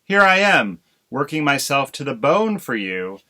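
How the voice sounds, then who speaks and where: A fretful mother crow, put on by a man reading a storybook aloud, her voice pushed up high and loud. She sounds shrill and aggrieved, with a bright edge and lively swings in pitch. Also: normal speed. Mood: indignant.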